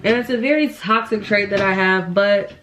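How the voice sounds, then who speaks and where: A woman's voice: medium pitch, normal speed, fairly bright tone, a little hiss or hum. A young woman, a bit nasal from the flu, chatting in a casual get-ready-with-me vlog at home and rambling with lots of fillers. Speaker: beauty vlogger. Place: indoors at home, getting ready.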